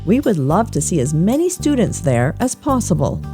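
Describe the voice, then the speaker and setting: A woman's voice: on the low side, sing-song, moderate pace, over music. A woman reading a scripted newsletter for a podcast as its presenter.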